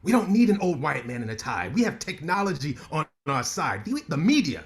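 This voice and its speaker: An actor playing a brash, ambitious lawyer who talks with cocky sarcasm in a staged play reading. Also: male voice, a little high, very animated, fairly quick.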